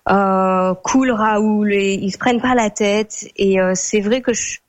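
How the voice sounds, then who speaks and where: A young woman from France chats as a guest in an easy, unhurried way. She pauses often and fills the gaps while she thinks, and her voice sits at a middle pitch. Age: young adult. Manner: relaxed, many hesitations. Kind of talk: casual conversation.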